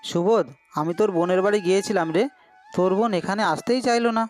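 A man as an anxious, high-voiced village friend. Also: fast, lightly acted. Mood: worried. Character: concerned male village friend, familiar and urgent. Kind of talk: Bengali moral-story cartoon acting.

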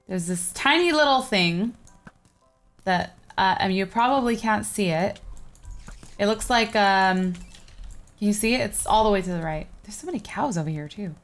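A young woman in her twenties chatting casually while playing a game at home, prone to quick excited exclamations. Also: medium pitch, soft background music. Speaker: co-host of a Minecraft series. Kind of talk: casual gameplay chat. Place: home room, indoors. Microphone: webcam and desk mic.

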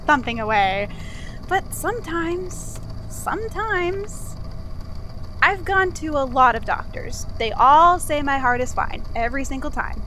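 A woman voicing a cheerful, accident-prone male archival assistant in an audio drama, chatty and wry with thoughtful pauses. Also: sits high, noisy background. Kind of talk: confessional monologue in an audio drama.

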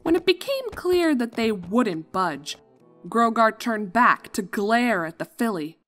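A woman's voice: on the high side, sing-song, slow pace, fairly bright tone. Woman narrating a story over music. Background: background music.

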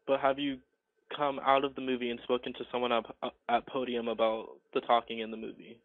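A man working in cinema customer service, speaking politely and haltingly on a phone call, often repeating himself. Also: medium pitch, little pitch movement. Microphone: telephone call. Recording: tinny muffled phone line.

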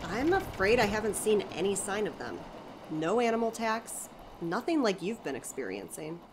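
A woman's voice: sing-song, normal speed, noisy background, natural voice. A woman playing a chatty, enthusiastic community center director in an audio drama, talking expansively and persuasively. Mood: assured. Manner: expansive, persuasive, eager to pitch ideas.

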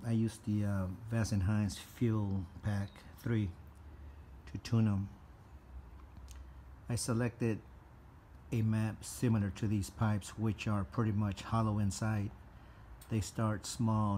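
Slow, mellow low-voiced man. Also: flat delivery, a little hiss or hum. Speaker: customer reviewing motorcycle mufflers. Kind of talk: casual product review.

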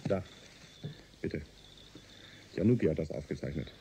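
An actor playing a ship's engineer and navigation officer in a German science-fiction radio drama, clipped and deferential. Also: male voice, rumbling low, normal speed, gravelly, warm tone, a little hiss or hum. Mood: crisp, businesslike. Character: rattled male spaceship engineer and navigation officer.

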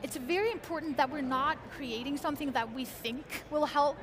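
A young woman with an Icelandic accent explains her work eagerly and clearly. Her voice sits high and light. She is recorded on a lapel mic at a busy convention hall booth. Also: a little fast, noisy background. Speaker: guest, research engineer. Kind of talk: conversational interview.

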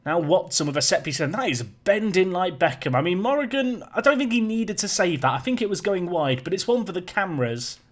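A man giving chatty, joking live commentary over a football management game, his voice a little raspy today. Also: high voice, expressive, fairly quick, hardly any pauses. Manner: chatty, joking, self-deprecating. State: slightly raspy voice. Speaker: gaming YouTuber.

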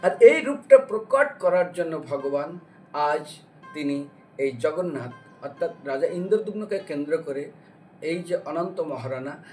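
A man delivers a devotional sermon in a high-pitched voice. He explains sacred stories like a storyteller, posing questions along the way. He sounds some way from the microphone, with plucked string music playing underneath. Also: lively intonation, unhurried. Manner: explanatory, with rhetorical questions and acted-out dialogue. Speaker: religious preacher giving a devotional lecture. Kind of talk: devotional storytelling sermon with recited scripture verses. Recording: distant microphone with music behind.

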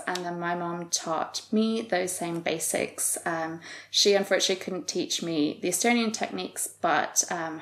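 A young woman from the United States, a guest knitwear designer answering interview questions in an earnest, measured way, seated in a living room. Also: on the low side, vocal fry.

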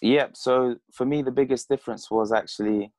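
A man's voice: medium pitch, steady tone, moderate pace, darkish tone, dry recording. A man in his twenties from Congo and the UK, a French speaker, chatting casually in an interview over a video call. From Congo, Zambia and the UK. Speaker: boxer, interview guest. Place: indoors, plain room at home. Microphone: earphones on a video call.

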